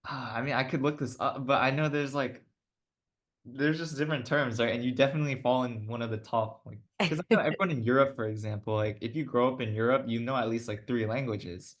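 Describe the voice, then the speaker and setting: An interviewer asking relaxed follow-up questions, often padding sentences with "like" and "I guess". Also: medium pitch, fairly quick. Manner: informal, many "like" and "I guess" fillers. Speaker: oral history interviewer.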